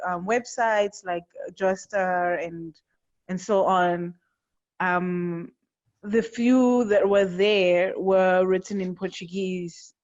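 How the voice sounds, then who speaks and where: Woman host from Angola, mid-range voice, earnest and searching; muffled lo-fi video call sound. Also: medium pitch. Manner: earnest, searching, with frequent restarts. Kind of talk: conversational interview. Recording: dull lo-fi sound.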